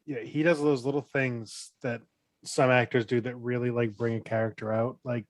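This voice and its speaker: A man's voice: mid-range voice, flat delivery, normal speed. A man co-hosting a podcast, chatting casually with the group. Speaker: podcast co-host.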